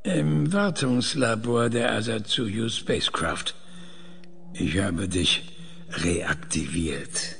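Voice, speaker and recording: A man playing a methodical, probing researcher in a science fiction audio drama, questioning in a measured, clinical way. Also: lowish voice, animated, gravelly, fairly bright tone, slight background noise, full character voice.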